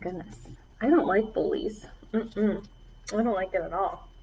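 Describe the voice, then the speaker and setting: A woman's voice: mid-range voice, unhurried, vocal fry, warm tone, highs cut off, a little hiss or hum. A woman children's librarian reading aloud to preschoolers, with encouraging asides to the little listeners. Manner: encouraging, addressing small children.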